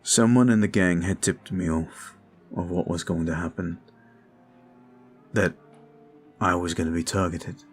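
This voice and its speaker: Guy doing a brooding vampire, very low voice, slow and confiding, soft music under it. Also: fairly even intonation. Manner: slow, intimate, confiding monologue. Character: brooding male vampire bound in service. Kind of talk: ASMR roleplay audio.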